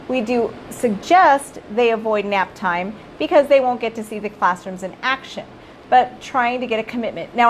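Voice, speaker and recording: A middle-aged woman who directs a child care center, giving casual live-streamed advice. She is hoarse from losing her voice and keeps checking in with viewers as "you guys.". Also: on the high side, a little hiss or hum. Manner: chatty, addresses viewers as "you guys", often tags "right?".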